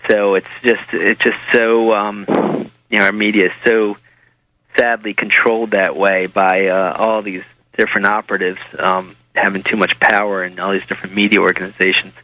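An American man gives a casual interview as a guest. His voice is low and flat, with a bright, forceful edge. He explains things in a halting, rambling way, recorded very dry. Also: dry recording, poor audio. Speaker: interview guest, author and documentary maker.

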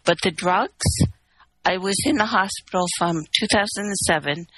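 A woman's voice: mid-range voice, normal speed, fairly bright tone, breathy edge, no room sound, low-quality recording. A woman from Canada, telling a long personal story in a candid, rambling, wry way on a call-in chat. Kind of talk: casual phone-in chat.

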